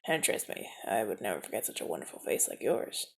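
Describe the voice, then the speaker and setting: A man's voice: fairly low pitch, sing-song, fast, gravelly, full character voice. A man playing a suave whispering mafia charmer. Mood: charming. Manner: suave, teasing, drops to soft whispers. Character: suave smooth-talking male mafia enforcer. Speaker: mafia man rescuing a newcomer. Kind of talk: ASMR audio roleplay.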